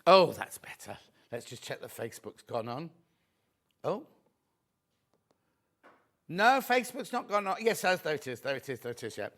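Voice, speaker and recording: A man hosting a live shopping show, chatty and friendly, reading out viewer messages with a slightly croaky voice. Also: a little high, sing-song, normal speed, pauses often. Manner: chatty, reads viewer messages aloud warmly. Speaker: shopping channel host.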